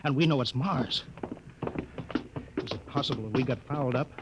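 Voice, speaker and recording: An actor with an American accent playing a skeptical, authoritative spaceship captain in a 1950s radio drama. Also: male voice, mid-range voice, moderate pace, lightly acted. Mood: baffled, insistent. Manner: authoritative, clipped orders. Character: commanding, skeptical male spaceship captain insisting on logic. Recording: noisy old 1950s radio recording.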